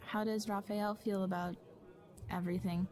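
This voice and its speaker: A woman's voice: mid-range voice, flat delivery, moderate pace, darkish tone, close to an everyday voice. An actress playing a concerned, probing female colleague in naturalistic audio drama dialogue.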